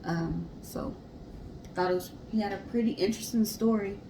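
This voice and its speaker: A woman's voice: medium pitch, moderate pace. Woman podcast host in an echoey room. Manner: explanatory, addresses listeners directly. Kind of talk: casual discussion of a history story. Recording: roomy echo, clear background hiss.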